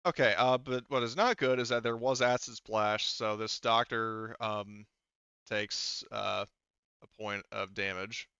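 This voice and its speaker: Crisp, bright-voiced man refereeing a game. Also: fairly low pitch, steady tone, measured pace, no room sound. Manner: casually profane. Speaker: game master. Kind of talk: casual tabletop game refereeing. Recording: dull, lo-fi close dry voice track.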